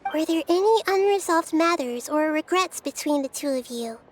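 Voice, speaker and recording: A woman voicing a gentle, wise, childlike girl deity in an anime game, speaking thoughtfully and earnestly. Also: high-pitched, lively intonation, normal speed, slight background noise. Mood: gentle, serious. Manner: soft-spoken, thoughtful, earnest.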